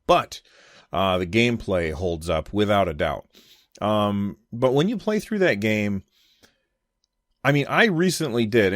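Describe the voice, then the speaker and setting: An American man with a fairly low voice hosts a casual solo gaming commentary. He thinks aloud in loose, unscripted phrases, talking conversationally. The sound is dry and close, with little room in it. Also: no room sound. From United States. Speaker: podcast host giving gaming commentary.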